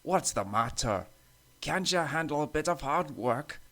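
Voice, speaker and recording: A man's voice: on the high side, expressive, a little slow, fairly bright tone, voice pushed up. A man voicing a cocky, grumpy little engine who likes to tease, in a children's story. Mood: teasing.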